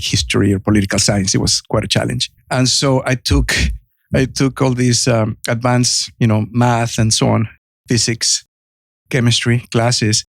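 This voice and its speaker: Man in his 50s, Mexican Spanish accent, gravelly fry, dry close sound, earnest storytelling. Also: mid-range voice, no room sound. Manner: earnest, with frequent restarts. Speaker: guest entrepreneur. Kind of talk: podcast interview.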